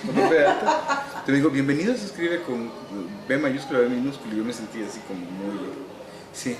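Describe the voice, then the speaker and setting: A Salvadoran man in his sixties, a novelist, answers interview questions in an unhurried, wry way, often pausing on "eh". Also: medium pitch, animated, echoey room, a loud crowd. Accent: Salvadoran Spanish. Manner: unhurried, wry, frequent "eh" pauses. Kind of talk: relaxed reminiscing interview answers.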